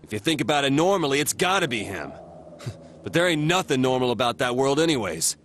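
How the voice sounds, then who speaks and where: Brash, swinging-pitched teen tough. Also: male voice, big pitch swings, brisk, fairly bright tone, a little hiss or hum. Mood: indignant. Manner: blunt, rough, slangy. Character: brash, hot-headed teenage male delinquent, slangy. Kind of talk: video game English dub acting.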